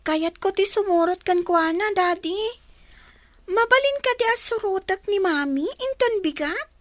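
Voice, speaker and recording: Young grandchild, northern Filipino accent, piercingly high voice, anxious and earnest, close dry sound. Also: female voice, lively intonation, a little slow, dry recording. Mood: pleading. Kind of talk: radio drama acting.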